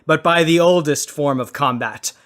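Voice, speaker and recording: A man's voice: fairly high pitch, moderate pace, fairly bright tone. A man moderating a comedy podcast with theatrical, mock-grandiose scripted narration.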